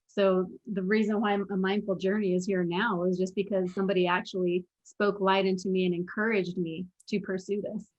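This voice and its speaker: A woman's voice: medium pitch, level tone, fairly quick, hardly any pauses, dull audio. A young adult woman speaking as an interview guest, earnestly telling her story and quoting her own past thoughts. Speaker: guest, former pro basketball player and mental performance coach.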